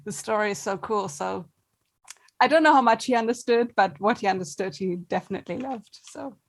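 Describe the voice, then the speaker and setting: Woman actor just chatting, english isnt her first language, mid pitched voice. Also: brisk. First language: not English. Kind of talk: casual chat.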